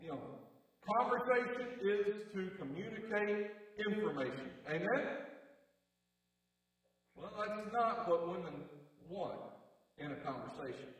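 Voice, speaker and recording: A married man preaching a sermon to a congregation with a big, low voice, conversational and dryly joking. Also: expressive, a little slow, pauses often, a bit bright. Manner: conversational, wry asides to the congregation. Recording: echoey hall, distant mic.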